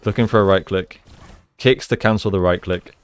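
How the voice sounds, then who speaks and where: A man with a low, smooth voice talks through gameplay like a coach. He explains each play casually and slips into gamer slang as he goes. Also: moderate pace, faint music behind. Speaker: esports coach and gameplay analyst. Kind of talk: live gameplay commentary and breakdown.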